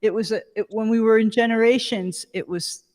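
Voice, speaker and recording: A woman's voice: fairly high pitch, steady tone, fairly quick, darkish tone. A woman, a singer-songwriter guest, chatting casually over a video call. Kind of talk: casual chat. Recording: reverberant room sound.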